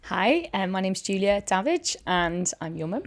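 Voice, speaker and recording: A middle-aged woman from Cardiff with a Welsh accent, a guest telling family stories in a measured, steady way. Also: medium pitch. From Cardiff, Wales. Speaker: guest, primary school teacher. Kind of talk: casual family interview.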